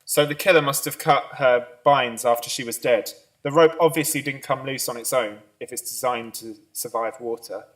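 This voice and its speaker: Police boss, male actor, measured and authoritative, amateur radio drama, mid-pitched, very dry recording. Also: a bit bright, no room sound, lightly acted. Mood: thoughtful, measured. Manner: measured, matter-of-fact, authoritative. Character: businesslike male police boss briefing his detectives.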